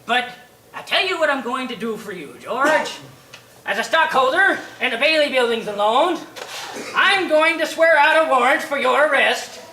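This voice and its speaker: A woman voicing a cold, gloating rich old man who mocks slowly and drags out his words. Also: high voice, lively intonation, bright, forceful tone, echoey room, a little hiss or hum, full character voice, low-quality recording. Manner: slow, mocking, drawn-out delivery.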